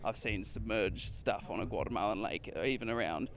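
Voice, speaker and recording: A man's voice: on the low side, fairly quick, vocal fry. A man hosting a casual travel podcast, chatting in a rambling, hesitant way peppered with filler words. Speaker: podcast host.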